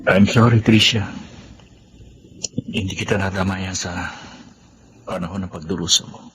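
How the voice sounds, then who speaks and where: An actor playing an apologetic, unfaithful young boyfriend in a Filipino drama, halting and pleading as he speaks. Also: low-pitched, steady tone, fairly bright tone, a little hiss or hum, low-quality recording. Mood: sheepish. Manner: hesitant, halting. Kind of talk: Filipino radio-style drama acting.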